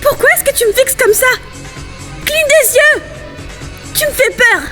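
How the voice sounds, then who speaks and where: A woman's voice: very high voice, measured pace, sharp tone, soft background music, heavily acted. A woman voicing a small, polite, timid young rabbit girl in an amateur cartoon fandub, with a childlike manner. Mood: frightened.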